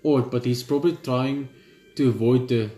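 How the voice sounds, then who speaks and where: A man's voice: mid-range voice, fairly even intonation, normal speed, mellow, reverberant, a little hiss or hum. A man who hosts a gaming channel, reading a visual novel's text aloud and chatting between passages, casual and stumbling, with the odd offhand swear.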